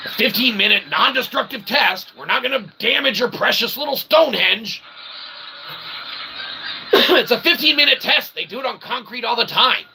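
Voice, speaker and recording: A man's voice: extremely high pitch, lively intonation, moderate pace, creaky, sharp tone, slight background noise. A man delivering an opinionated, rambling solo monologue, emphatic and ranting, often checking in with short tag questions. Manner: emphatic, ranting, peppered with "okay?" and "right?"; casually profane. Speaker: independent author and channel host.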